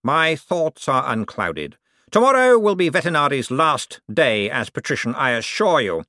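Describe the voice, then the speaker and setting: A man voicing a vain, scheming rich young man who talks in a smooth, self-satisfied, dreamy way. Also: mid-range voice, sing-song, moderate pace, bright, forceful tone, dry recording, clean studio sound, voice pushed up.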